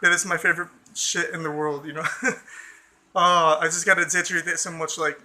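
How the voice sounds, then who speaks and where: American male vlogger, high, sharp, bright tone, loose, sweary, filler-heavy delivery. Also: flat delivery, a little fast. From Chicago suburbs, USA. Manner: loose and profane, heavy on fillers like "you know" and "like". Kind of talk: casual rambling vlog talk.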